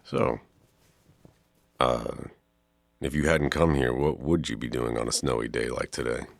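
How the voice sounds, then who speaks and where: Very deep, creaky-voiced flirty art professor played by a man. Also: dry recording, studio quality, exaggerated voice. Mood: warm, flirty. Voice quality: very deep, gravelly.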